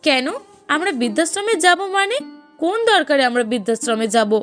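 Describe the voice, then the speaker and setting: A woman voicing a proud, outspoken young wife and mother in a moral-story cartoon, with emphatic, expressive delivery. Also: high-pitched, brisk, soft background music. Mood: indignant.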